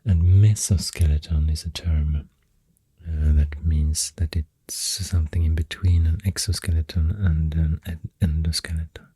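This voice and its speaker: Very low, hushed Swedish-accented ASMR voice. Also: little pitch movement, no room sound. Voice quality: breathy, half-whispered. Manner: hushed, hesitant, pausing mid-thought. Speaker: ASMR host. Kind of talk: soft-spoken ASMR rambling. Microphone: close ASMR microphone. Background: pencil writing sounds.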